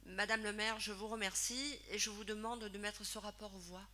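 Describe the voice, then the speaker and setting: A woman's voice: mid-range voice, fairly even intonation, moderate pace, pushed and bright. A woman council member reading a formal report aloud, careful and formal. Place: municipal council chamber.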